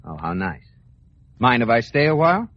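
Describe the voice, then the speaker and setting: An actor playing a fretful, jealous husband with hesitant, stammering complaints, in mid-century radio comedy style. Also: male voice, mid-range voice, very animated, normal speed. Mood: jealous, sulky. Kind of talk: 1940s-50s radio comedy acting. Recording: muffled old radio broadcast.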